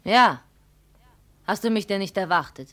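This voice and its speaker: An actress playing a proud, brisk and regretful daughter come home from the city in a 1950 radio play. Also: female voice, mid-range voice, animated, pushed and bright. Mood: brisk, defensive. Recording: vintage 1950 radio recording.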